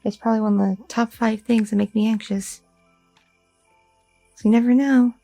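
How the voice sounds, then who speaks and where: A woman's voice: a little high, fairly even intonation, normal speed, creaky, mellow, no room sound, soft background music. A young woman voicing an anxious goth girlfriend who rambles and second-guesses herself, in an ASMR audio roleplay. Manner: rambling, self-doubting, talks through her worries.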